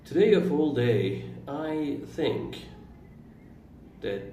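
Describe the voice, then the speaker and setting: A man in his twenties whose first language is Turkish, talking slowly about his own life in a halting way, pausing and correcting himself. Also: a little high, expressive, mellow. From Iran. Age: 20s. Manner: slow, halting, with pauses and self-corrections. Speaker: vlogger. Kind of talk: reflective personal monologue. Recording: roomy, distant mic with faint room noise.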